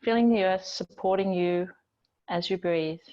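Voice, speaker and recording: A woman teaching yoga, guiding relaxation in a gentle, soothing, unhurried manner with long pauses between phrases. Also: fairly low pitch, highs cut off. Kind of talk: guided yoga and relaxation instruction.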